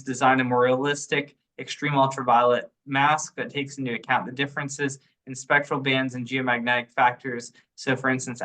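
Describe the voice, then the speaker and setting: A man with a sharp, bright voice walks listeners through technical research on a teleconference. His delivery is informal and explanatory, with halting moments as he thinks aloud. The pitch sits in an ordinary male range. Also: low-quality recording. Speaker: presenter summarizing research. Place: online teleconference.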